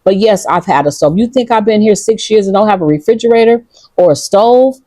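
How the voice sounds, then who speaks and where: A woman's voice: medium pitch, animated, brisk, mellow, no echo. A woman from Detroit with a Detroit accent, talking casually and bluntly to her viewers, defiant and profane. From Detroit, United States. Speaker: vlogger. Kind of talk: casual talk to camera. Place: outdoors in Ghana.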